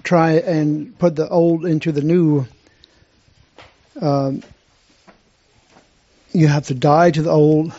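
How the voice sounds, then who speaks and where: Halting man in a church discussion. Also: on the high side, level tone, mellow. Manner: halting, many restarts and fillers. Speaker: church member sharing. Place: room with a group. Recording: dull lo-fi sound.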